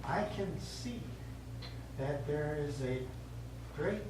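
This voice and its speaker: Actor as slow, patient priest, echoey stage. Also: male voice, on the high side, animated. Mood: earnest, patient. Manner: measured, halting, counselling. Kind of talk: stage play acting. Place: small theatre stage, recorded from afar. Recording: echoey stage, distant mic, clear noise.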